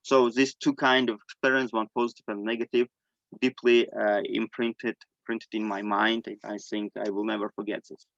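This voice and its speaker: Middle-aged guy, native armenian speaker, low creaky voice, telling his story haltingly, muffled audio. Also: level tone, a bit bright, no room sound. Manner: halting, searching for words in non-native English. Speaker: guest sharing personal testimony. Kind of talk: personal storytelling in an online discussion.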